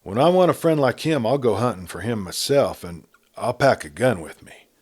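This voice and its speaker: A man's voice: mid-range voice, animated, moderate pace, a little mellow, slightly rough, pitched above the performer's own voice. A man voicing a cold, half-wild young frontiersman in a Western story reading, speaking curtly and sternly. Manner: curt and stern.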